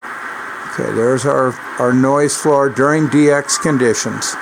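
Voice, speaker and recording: Male hobbyist narrator for technical radio demo, medium pitch, noisy recording with receiver hiss. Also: fairly even intonation, slow pace, creaky. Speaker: radio hobbyist demonstrating equipment. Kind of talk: hands-on technical demonstration narration. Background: CB radio receiver noise.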